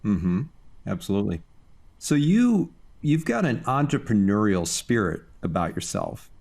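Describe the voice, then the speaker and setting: A man's voice: fairly low pitch, big pitch swings, unhurried, creaky, darkish tone. A man hosting an interview podcast, moving between scripted introduction and questions to a guest. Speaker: podcast host.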